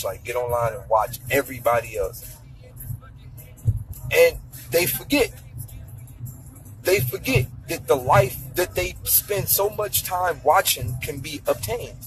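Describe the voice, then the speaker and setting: A man's voice: fairly high pitch, low-quality recording. A man in his thirties, ranting casually to camera with blunt, profane money advice and lots of repetition. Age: 30s. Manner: blunt, profane, lecturing, repeats himself for emphasis. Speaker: vlogger giving money advice. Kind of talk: casual motivational rant to camera.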